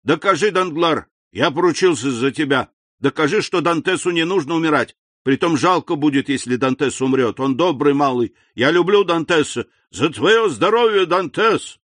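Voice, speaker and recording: A man plays a good-natured drunkard in a storybook tavern scene. The voice is pitched well up, boozy and boisterous, exclaiming and bragging at full volume. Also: animated, moderate pace, a bit bright, no echo. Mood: teasing, sentimental.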